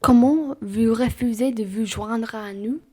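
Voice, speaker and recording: Woman doing a posh indignant gentleman traveller, husky and soft-toned, formal, amateur radio drama. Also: fairly high pitch, unhurried. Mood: indignant, commanding. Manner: formal, emphatic, theatrical. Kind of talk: amateur radio play acting.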